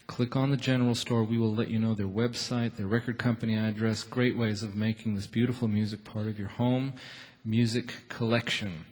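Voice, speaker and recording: A man's voice: lowish voice, level tone, moderate pace, few pauses, darkish tone. A man hosting a live radio show, interviewing and announcing from a theatre stage.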